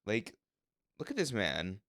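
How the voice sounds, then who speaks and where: A young man with a very deep, gravelly voice chats casually on a podcast. He mostly keeps a level tone, then swings around when he gets into something. His sound is smooth and close, with a dry, dead room. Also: measured pace, no room sound. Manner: says his voice is monotone but jumps around when passionate. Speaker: podcast co-host. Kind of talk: casual chat.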